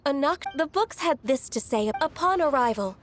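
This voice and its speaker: A woman voicing a gentle, studious young secretary, polite and deferential, in a video game. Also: sits high, animated, normal speed, a little hiss or hum. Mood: earnest, explanatory. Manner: polite, bookish, explanatory.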